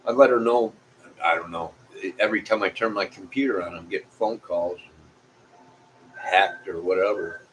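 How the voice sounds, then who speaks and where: A man with a fairly low voice and a Midwestern American accent talks casually to his viewers. He rambles in a folksy way, moving loosely from one thought to the next. Faint noise sits behind his voice. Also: normal speed, a bit bright, poor audio. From Illinois, United States. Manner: folksy, meandering. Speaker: vlogger. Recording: clear background noise.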